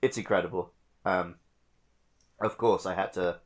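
A man's voice: deep voice, steady tone, measured pace. A man co-hosting a casual video game podcast, speaking in an informal, playful way. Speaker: podcast co-host.

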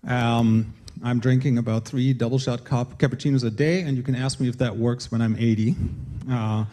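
A middle-aged man with a German accent speaks as a conference presenter. His voice sits at a medium pitch. He tells his personal story earnestly, with a light, self-deprecating humour. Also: fairly even intonation, normal speed, warm tone. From northern Germany. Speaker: conference speaker, games creative director. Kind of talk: personal talk to an audience.

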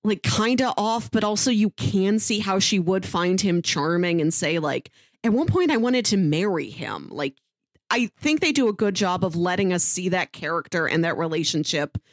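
A woman's voice: on the low side, expressive, moderate pace, dry recording, studio quality. A woman talking casually and thoughtfully as a podcast co-host, reviewing a story in conversation.

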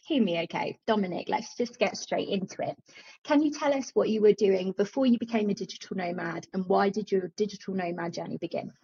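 A woman's voice: mid-range voice, a little mellow. A woman hosting a podcast, introducing the show and interviewing in a conversational way over slightly lo-fi sound. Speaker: podcast host. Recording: dull, slightly lo-fi, slightly husky sound.

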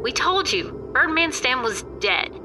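A woman's voice: sits high, big pitch swings, unhurried, noisy background, heavily acted. A woman playing a dramatic story narrator who addresses the listener in an audio comedy drama. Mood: arch, dramatic. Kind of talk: theatrical scripted narration.

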